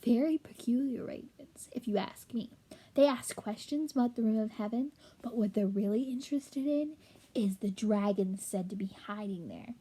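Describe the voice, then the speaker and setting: A fussy little male rat voiced by a woman from a children's storybook, high in pitch and full of self-importance. He talks like a proud mapmaker, haughty and easily affronted. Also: warm tone, slightly rough, low-quality recording. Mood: secretive whisper. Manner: haughty, quick to take offence.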